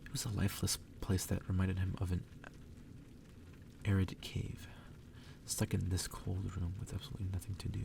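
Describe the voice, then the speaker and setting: A man softly reading a story aloud in ASMR style, sometimes dropping toward a whisper and stumbling over the text. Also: low voice, monotone, normal speed, creaky, far from the mic, background noise. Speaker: ASMR reader.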